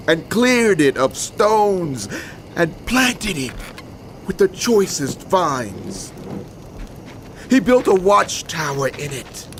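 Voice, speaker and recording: A man playing an expressive poetic storyteller in a dramatized scripture recording. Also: high-pitched, a little hiss or hum, heavily acted. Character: male poetic storyteller singing of a vineyard.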